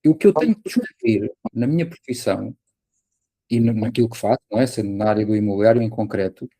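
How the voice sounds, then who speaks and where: Podcast guest, male, European Portuguese accent. Relaxed, mellow timbre, dry recording, conversational coaching style with everyday examples. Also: fairly low pitch, fast, breathy edge, no echo.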